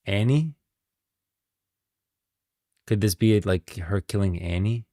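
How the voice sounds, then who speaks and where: A man's voice: lowish voice, slow pace, mellow, no echo, studio quality. A man giving casual live commentary as a game lore streamer, informal and musing aloud.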